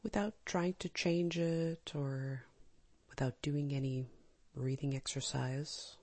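A woman leading a guided meditation in a calm, gentle, soft and breathy manner, with long pauses. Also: deep voice. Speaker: meditation teacher. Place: live small group session.

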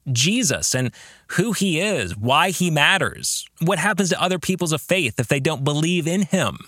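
A man with a bright, forward voice that moves up and down expressively as he leads a reflective book discussion. He speaks slowly and deliberately, breaking his phrases with pauses, in an earnest podcast-host manner. The recording is close and dry. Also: fairly high pitch, dry recording. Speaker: podcast host and author discussing own novel.

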